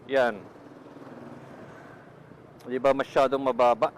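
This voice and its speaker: A man's voice: medium pitch, little pitch movement, slow, low-quality recording. A Filipino man giving casual vlog commentary as he rides a motorcycle, speaking into a helmet intercom microphone. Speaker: motovlogger testing gear. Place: outdoors on a motorcycle on the road. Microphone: Bluetooth helmet intercom microphone. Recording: helmet intercom mic with wind, engine noise. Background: road and vehicle noise.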